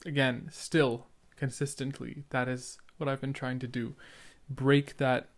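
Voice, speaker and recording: Young man talking candidly, slightly halting. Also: mid-range voice, little pitch movement. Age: young adult. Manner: halting, stuttering, repeats words. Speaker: podcast host reacting to an old episode. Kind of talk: casual reaction commentary.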